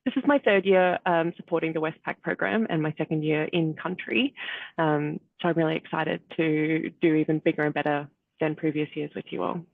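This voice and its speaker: A man on program support staff, talking quickly and casually on a video call with tinny phone-like audio. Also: a little high, fairly even intonation, creaky, no room sound.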